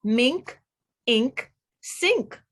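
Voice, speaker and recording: A woman reading aloud to young children as a teacher, pronouncing words deliberately one by one and putting questions to the listener. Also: a little high, lively intonation, a bit bright. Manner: deliberate word-by-word enunciation, asks the listener questions. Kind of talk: read-aloud phonics lesson for children.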